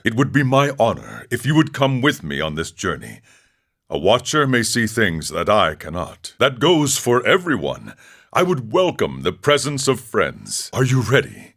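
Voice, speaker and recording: Deep-voiced, solemn warrior monk. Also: male voice, a bit bright, exaggerated voice. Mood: calm, eager. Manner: measured, philosophical, speaks of himself in third person. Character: grave aging warrior monk, proud yet regretful seeker. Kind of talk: scripted video game character dialogue.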